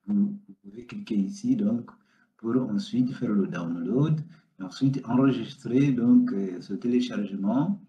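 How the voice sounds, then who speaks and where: Instructor, male, native Portuguese speaker; slow, hesitant walkthrough, mellow tone, roomy lo-fi recording. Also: fairly low pitch. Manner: unhurried, step-by-step, frequent hesitations. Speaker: data science instructor. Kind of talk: screen-recorded software tutorial. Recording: roomy, dull lo-fi sound.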